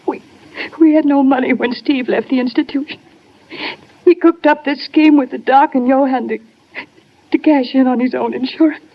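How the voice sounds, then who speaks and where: A woman's voice: sits high, steady tone, moderate pace, pauses often. An actress playing an anxious, wavering, emotional young woman, an accomplice in a 1950s radio crime drama. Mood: distraught. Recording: muffled, faintly noisy old radio.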